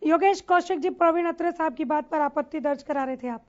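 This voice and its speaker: A woman's voice: high voice, fairly quick, a little mellow. A woman moderating a live TV news panel debate as its anchor. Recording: dull, band-limited broadcast audio.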